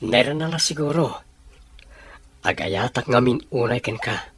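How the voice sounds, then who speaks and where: A man's voice: medium pitch, lively intonation, unhurried, a bit bright, breathy edge. A man playing a devoted young boyfriend, romantic and earnest, in an Ilocano radio drama. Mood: affectionate.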